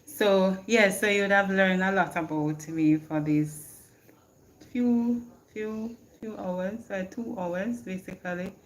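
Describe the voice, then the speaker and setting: Jamaican woman, live-stream host, unhurried talk, reads viewer comments and laughs, phone mic. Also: medium pitch, steady tone, low-quality recording. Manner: reads viewer comments aloud and answers them, laughs often. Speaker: livestream host. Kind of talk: casual live-stream chat.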